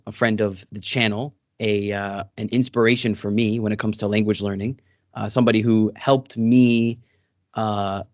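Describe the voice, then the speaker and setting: A man's voice: lowish voice, dry recording, studio quality. A man hosting a casual interview over a video call, friendly and rambling with many fillers. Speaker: host. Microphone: studio microphone.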